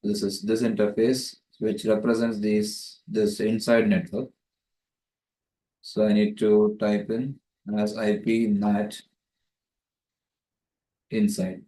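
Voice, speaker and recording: A man's voice: on the low side, level tone, takes it slowly, long pauses, mellow. A man giving a methodical, step-by-step tutorial narration as a networking tutor, recorded with roomy echo on a distant microphone. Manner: methodical, explanatory.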